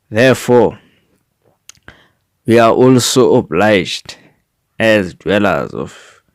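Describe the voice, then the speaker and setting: A man with a South African accent reading a prepared manifesto in a formal, deliberate manner, occasionally stumbling over a word. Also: deep voice, darkish tone, no room sound, clean studio sound. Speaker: reader of a sport development framework.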